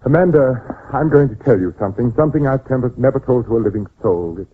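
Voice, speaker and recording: Actor as a stern widower, tinny old radio. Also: male voice, medium pitch, expressive, fast, a little hiss or hum, natural voice. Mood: confiding, dread. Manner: formal, melodramatic delivery. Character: stern, secretive widower father, dreading and grief-struck. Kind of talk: old-time radio suspense acting. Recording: narrow, tinny vintage radio recording.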